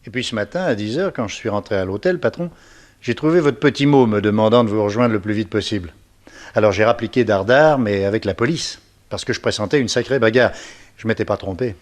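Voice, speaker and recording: An actor playing a plucky, cheeky detective's sidekick in a French radio serial, chatty and slangy. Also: male voice, lowish voice, fairly quick, lightly acted. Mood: breezy, proud. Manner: chatty, colloquial storytelling.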